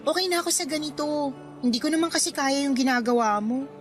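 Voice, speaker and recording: A woman playing a modest, contented schoolgirl best friend in a radio drama, speaking in a gently protesting, conversational way. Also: high voice, fast, soft background music, natural voice.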